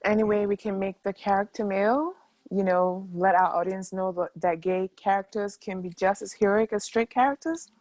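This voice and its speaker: A woman's voice: mid-range voice, steady tone, moderate pace, a little mellow, no echo, natural voice, poor audio. Woman acting an upbeat producer, very dry sound. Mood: eager. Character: upbeat, pushy woman film producer full of casting ideas. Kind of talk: table read acting.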